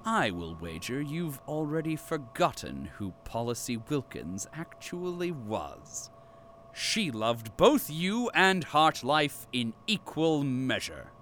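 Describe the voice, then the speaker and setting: A man's voice: medium pitch, big pitch swings, slow, a bit bright, slight background noise. A man playing a pompous captive archivist and company loyalist in an audio drama, with formal, grandiose diction. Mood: solemn, fervent.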